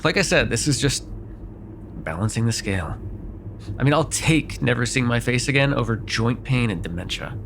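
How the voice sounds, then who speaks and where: A man's voice: medium pitch, lively intonation, normal speed, vocal fry, noisy background. A man playing a sardonic, grudgingly apologetic vampire in an immersive roleplay, talking in a wry, hesitant, conversational way with some swearing. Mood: wry, defensive. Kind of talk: immersive ASMR roleplay acting, one side of a dialogue.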